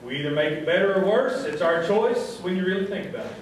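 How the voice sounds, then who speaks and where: High-voiced folksy man hosting in echoey hall. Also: quick pace. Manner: folksy, addresses the audience as folks. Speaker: host of a live small-town radio show. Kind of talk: live variety show hosting, folksy monologue. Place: live show before an audience. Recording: echoey hall, distant mic, faint crowd noise.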